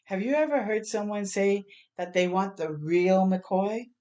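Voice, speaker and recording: A woman's voice: mid-range voice, measured pace. A woman reading a picture book aloud to children as a storyteller, expressively, with asides to her listeners. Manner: expressive, acting out sound words and exclamations.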